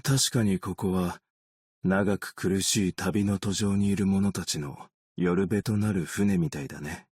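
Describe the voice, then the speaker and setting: Deep, husky, world-weary game optician. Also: male voice, steady tone, darkish tone, no echo, studio quality. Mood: wistful, melancholic. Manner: measured, understated, world-weary. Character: weary, gentle, long-lived male optician, quietly melancholic. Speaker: video game character voice actor. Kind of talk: scripted game character voice lines.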